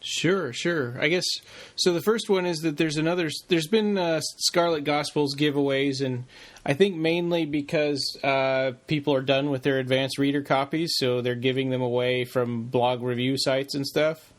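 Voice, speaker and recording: A man's voice: medium pitch, fairly even intonation, normal speed. A man co-hosting a podcast, talking in a casual, conversational style. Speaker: podcast co-host. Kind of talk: casual chat.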